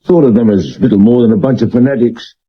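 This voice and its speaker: A man's voice: medium pitch, quick pace, breathy edge, lightly acted. A man acting a dour, plain-spoken Victorian London police sergeant with a British accent and a dry, respectful manner, in a 1970s radio drama. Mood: dry, factual. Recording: dull medium-wave radio recording.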